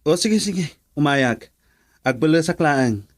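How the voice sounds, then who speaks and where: A man's voice: on the high side, animated, slow, no echo, studio quality. A young man with an Ilocano accent playing an easygoing, caring friend in a radio drama, polite and thinking aloud. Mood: friendly.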